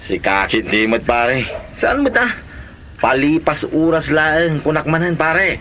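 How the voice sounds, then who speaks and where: Casting: male actor for cheeky womanizing husband, Filipino accent, very lively pitch swings, noisy comedy-drama recording. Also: unhurried. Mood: boastful, carefree. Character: philandering husband, boastful about his online chatmate. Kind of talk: Ilocano comedy radio drama acting.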